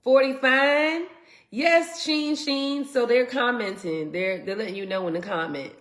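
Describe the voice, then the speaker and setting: A woman's voice: on the high side, a little slow, reverberant. A congested woman co-hosting a casual live Q&A, reading viewer comments aloud. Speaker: co-host of a live Q&A stream. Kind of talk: casual live-stream chat.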